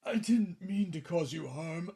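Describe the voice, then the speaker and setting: Creaky, theatrical washed-up kids' entertainer. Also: male voice, fairly high pitch, expressive, dark tone. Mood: pleading, remorseful. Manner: dramatic, halting pleading and grand showman delivery. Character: washed-up older children's TV entertainer, contrite, theatrical. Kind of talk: radio play acting.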